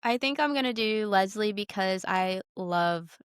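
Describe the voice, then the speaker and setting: Smooth, clear-voiced woman chatting casually. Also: medium pitch, expressive, a little slow, no room sound, clean studio sound. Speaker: podcast co-host. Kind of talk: casual chat.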